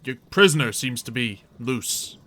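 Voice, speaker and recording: Man playing a brisk, bright-voiced town guard. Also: mid-range voice, animated. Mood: brisk, helpful. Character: brisk male town guard, suspicious but polite.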